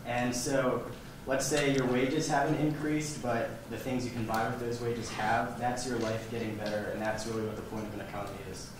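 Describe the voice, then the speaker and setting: A man's voice: mid-range voice, flat delivery, normal speed. A man making a debate argument in a casual, fluent way, often saying "like". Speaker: student debater. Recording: echoey hall from far off, noisy.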